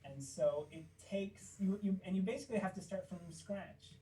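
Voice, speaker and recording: A man from Kansas with a squeaky voice, a puppeteer guest chatting casually and acting out stories. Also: moderate pace, recorded from a distance, slight background noise, rough recording. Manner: animated storytelling, acts out quotes. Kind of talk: casual interview chat.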